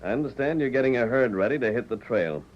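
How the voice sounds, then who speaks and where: An actor playing a calm, fearless masked hero in a 1940s radio western, giving terse, measured orders. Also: male voice, mid-range voice, natural voice. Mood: firm, commanding. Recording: muffled vintage 1940s radio recording.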